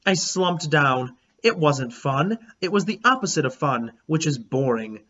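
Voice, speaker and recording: A man reading a children's storybook aloud as a teacher, in an expressive, animated, emphatic way. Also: fairly high pitch, unhurried, dull audio. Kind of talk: expressive storybook read-aloud in a child narrator's first person.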